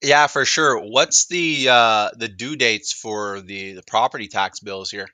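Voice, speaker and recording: Bright-voiced man, casual demo presenter. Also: lowish voice, a little slow, dry recording. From Canada, greater Toronto area. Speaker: presenter of a product demo, acting as a caller. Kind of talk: casual unscripted demonstration talk.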